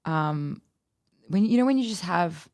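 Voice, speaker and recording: A woman's voice: lowish voice, lively intonation, unhurried, darkish tone. A young Australian woman co-hosting a casual podcast, asking questions and adding dry quips. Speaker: podcast co-host. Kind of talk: casual chat.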